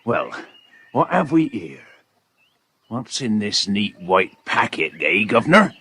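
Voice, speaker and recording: An actor playing a gruff, commanding farmer with a rough gravelly voice, in an audiobook play. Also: male voice, lowish voice, very animated, slow, pushed and bright, heavily acted. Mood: stern, triumphant. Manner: commanding, interrogating, emphatic. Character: gruff, forceful farmer secretly working with the police.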